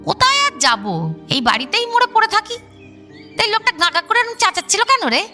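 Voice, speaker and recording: A woman acting a sharp-tongued, teasing ghost aunt in a radio drama, with colloquial, mocking scolding. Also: very high voice, sing-song, a little fast, soft background music, exaggerated voice. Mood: peevish, defiant.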